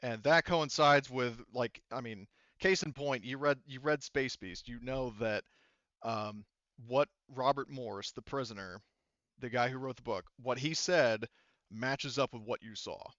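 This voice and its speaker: A man running a tabletop roleplaying game, explaining the story in a halting, conversational way. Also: mid-range voice, fairly bright tone, no room sound, lo-fi sound. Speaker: game master.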